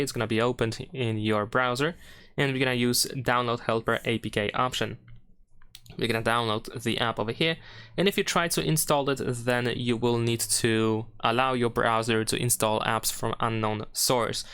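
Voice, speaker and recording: A man hosts a how-to walkthrough in a clean, smooth voice of medium pitch. He explains each step in turn without a script, adding small hedging asides as he goes. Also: fairly bright tone. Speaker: tutorial host. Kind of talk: unscripted how-to screen narration.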